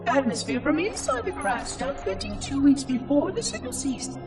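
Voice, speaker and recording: A man's voice: very high-pitched, wildly swooping pitch, moderate pace, gravelly, full character voice. A man voicing an ancient, fussy, curious robotic monitor in a video game, with precise, formal, theatrical delivery and a synthetic processed sound. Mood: solemn, regretful. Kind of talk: video game cutscene log narration. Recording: distant, echoing, music underneath. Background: music.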